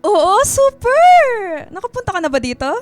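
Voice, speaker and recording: A woman's voice: very high-pitched, sing-song, normal speed, faint music behind. A woman playing a bashful, girlish young daughter in a radio drama, flustered and stammering. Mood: cheerful, surprised. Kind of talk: Filipino radio drama acting.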